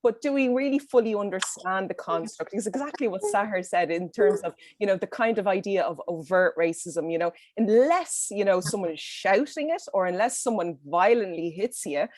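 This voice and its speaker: A woman's voice: medium pitch, lively intonation, moderate pace, hardly any pauses, a little mellow, dry recording. A woman with a Dublin accent speaking earnestly on an online panel over a video call, with frequent fillers and restarts. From Ireland.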